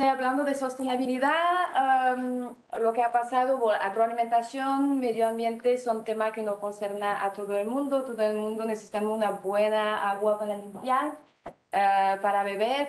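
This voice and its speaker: A woman from France with a French accent, giving a presentation at a panel, hesitant and full of fillers. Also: mid-range voice. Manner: hesitant, many fillers, non-native phrasing. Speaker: speaker at a panel. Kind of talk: presentation talk. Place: conference hall, standing before a screen. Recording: echoey conference hall.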